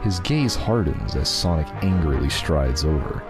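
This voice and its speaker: A man's voice: a bass voice, big pitch swings, moderate pace, music in the background. A man narrating a fan fiction script in a casual group reading.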